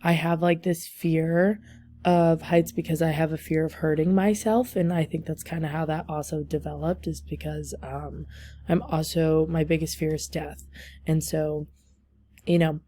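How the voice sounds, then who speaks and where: A young woman giving a class presentation over slides, losing her voice, talking haltingly with many ums and likes. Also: deep voice, flat delivery, warm tone, slight background noise. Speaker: student presenter.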